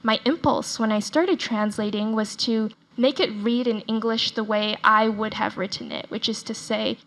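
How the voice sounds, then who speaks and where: A woman's voice: fairly high pitch, steady tone, normal speed, fairly bright tone, rough recording. Articulate woman, academic and reflective. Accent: adaptable American, mirrors people around her. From Texas, United States. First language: Chinese. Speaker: author and translator, guest. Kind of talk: thoughtful interview answers.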